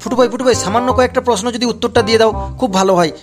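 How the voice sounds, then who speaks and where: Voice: medium pitch, very fast, lightly acted. A performer voicing a persistent, eager food vlogger firing insistent questions in a comic cartoon street interview. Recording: loud music behind the voice. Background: music.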